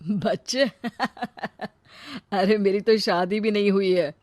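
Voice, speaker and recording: A woman's voice: medium pitch, drawn-out words, a little mellow, dry recording, clean studio sound. A woman storyteller voicing a confident, insistent young man, a suitor and husband. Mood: confident wooing. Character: rich young man, smooth suitor turned suspicious husband.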